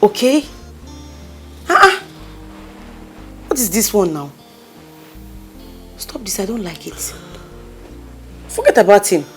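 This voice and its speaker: A woman's voice: medium pitch, sing-song, slow pace, long pauses, a bit bright, soft background music. A woman with a Nigerian accent playing a pushy, caring friend who coaxes and pleads with emphasis. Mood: coaxing. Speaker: consoling friend.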